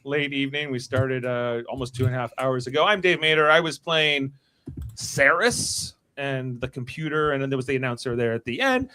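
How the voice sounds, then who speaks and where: Guy hosting a podcast wrap-up, kinda rambly n halting, bright voice, chatting casually. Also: mid-range voice, slight background noise. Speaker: podcast host and cast member. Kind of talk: casual cast wrap-up chat.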